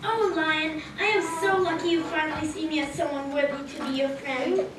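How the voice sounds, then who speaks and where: Brave tiny mouse, acted by a woman, high, proclaiming, puppet theatre, echoey, noisy, far from mic. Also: steady tone, a little fast, natural voice. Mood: grateful. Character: small, brave, heroic mouse. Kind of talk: children's puppet show acting. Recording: echoey, distant, clearly noisy.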